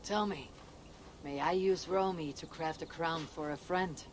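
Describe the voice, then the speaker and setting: An actor voicing a composed Viking warrior hero in a video game, speaking in a measured way. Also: mid-range voice, fairly even intonation, slight background noise, close to an everyday voice. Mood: calm.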